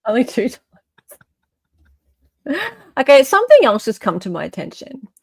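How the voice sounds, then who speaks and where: A woman's voice: fairly high pitch, wildly swooping pitch, normal speed, vocal fry, husky, dry recording. An Australian woman hosting a live stream in casual, opinionated chat, often checking for agreement as she goes.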